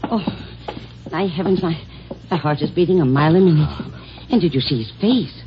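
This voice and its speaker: A woman's voice: deep voice, fairly quick, over music, natural voice. An actress voicing a kindly, fussy elderly woman librarian who is easily flustered, in an old radio drama. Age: older. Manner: chatty, fussy, easily alarmed. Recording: dull lo-fi old radio recording.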